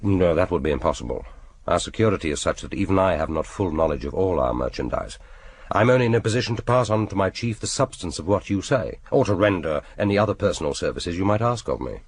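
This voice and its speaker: Deep-voiced suave spy. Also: male voice, expressive, fast talker, husky, exaggerated voice. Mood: formal, measured. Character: suave male British spy, dry and teasing. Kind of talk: radio drama acting.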